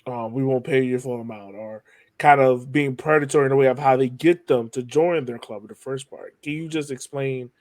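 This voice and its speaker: A man's voice: mid-range voice, fairly quick, a little mellow, dry recording. A man hosting a podcast interview in a casual way, feeling his way through his questions.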